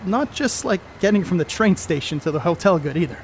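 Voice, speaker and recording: A man's voice: high-pitched, lively intonation, a little fast, a little hiss or hum. A man playing a brash, crude, boastful middle-aged 1930s baseball star on stage, cocky and slangy. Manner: cocky, slangy, coarse-mouthed. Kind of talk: stage play acting, 1930s American banter.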